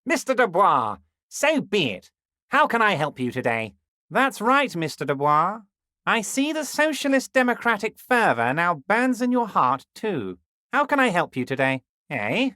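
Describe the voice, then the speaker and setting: An actor playing a smooth, scheming, jovial middle-aged union boss in a video game, folksy and patronizing. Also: male voice, high voice, very animated, a little slow, bright, forceful tone, dry recording, clean studio sound, full character voice. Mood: sly, jovial. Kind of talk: game dialogue voice acting.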